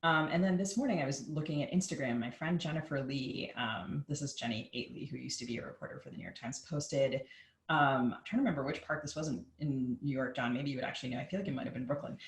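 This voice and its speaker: A woman co-hosting a podcast, talking casually and informally in a halting, conversational way. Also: extremely low pitch. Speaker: podcast co-host. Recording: roomy, echoing sound.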